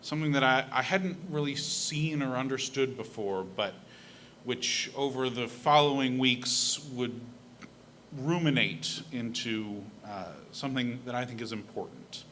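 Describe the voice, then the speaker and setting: A young man with a bright, forceful voice gives a personal reflection at a church service. He talks in an earnest, conversational way with the odd filler, into a pulpit microphone in a church sanctuary with faint room noise behind him. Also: mid-range voice, unhurried. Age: young adult. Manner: earnest, conversational, with fillers and a self-deprecating laugh. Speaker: lay speaker at a church service.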